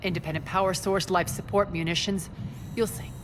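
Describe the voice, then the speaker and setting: A woman's voice: fairly even intonation, a little fast, close to an everyday voice. An actress playing an imperious, confident female crime boss in a video game, giving curt orders. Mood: cool, confident. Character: commanding female crime boss reclaiming her space station. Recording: noisy game mix, engine rumble.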